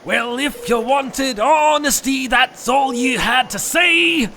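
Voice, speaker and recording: A man's voice: extremely high pitch, slow pace, sharp tone, exaggerated voice. A man voicing a blustering, defensive, theatrical wizard groundskeeper in a parody cartoon. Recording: noisy recording.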